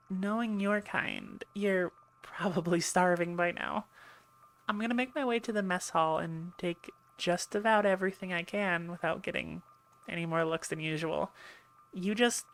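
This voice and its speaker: A woman's voice: fairly low pitch, vocal fry. A woman playing a chatty, teasing and reassuring medic aboard an alien warship in an ASMR roleplay. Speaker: audio roleplay voice actor. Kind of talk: ASMR roleplay, one-sided conversation.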